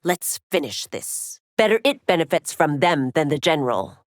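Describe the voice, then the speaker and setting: A stern fighting game warrior lady, top cop type, low voice that swings a lot, formal and bossy, really dry sound. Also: expressive, moderate pace, no room sound. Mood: stern, resolute. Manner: formal, commanding, clipped declarations. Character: stern, dutiful warrior woman, chief law officer. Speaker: video game voice actress. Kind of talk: fighting game character voice lines.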